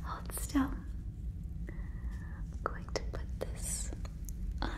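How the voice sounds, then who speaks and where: A woman voicing an earnest, protective alien in an ASMR roleplay, whispering breathily close to a microphone with halting, stilted phrasing. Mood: curious, helpful. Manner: halting, stilted phrasing like a learner of human words. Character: earnest female alien, protective, gently convinced listener is a cow. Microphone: fluffy microphone, very close.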